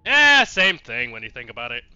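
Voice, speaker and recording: Guy doing a lets play, super bright voice, pitch all over, sweary casual reactions, bit of music. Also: wildly swooping pitch, moderate pace, clean tone, muffled recording. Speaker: game streamer. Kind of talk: casual let's play commentary. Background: faint music.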